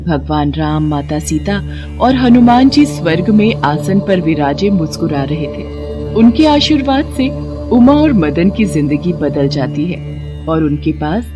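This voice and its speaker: A woman narrator reads a scripted devotional story. Her pitch moves around a lot, and music plays clearly behind her. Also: very animated, measured pace, muffled recording.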